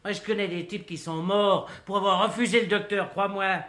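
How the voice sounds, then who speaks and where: An actor playing a glib, slangy young working-class fellow, falsely friendly and cajoling, in a radio play. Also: male voice, high-pitched, steady tone, brisk, a bit bright. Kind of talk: French radio play acting.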